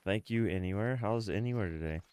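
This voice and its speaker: Deep-voiced congested man, casual game streamer. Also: brisk, a little mellow, clean tone. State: congested, sniffly. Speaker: game livestreamer. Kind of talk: casual livestream chat with gameplay commentary. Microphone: close streaming microphone.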